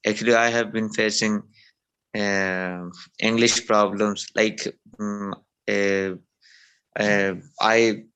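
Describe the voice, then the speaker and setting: A man, an Urdu speaker, answers slowly in a low, flat tone. His voice is smooth and clear, but he searches for words, hesitating and restarting often on a video call. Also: highs cut off. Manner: hesitant, many fillers and restarts. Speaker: show participant. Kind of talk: halting conversation in a second language.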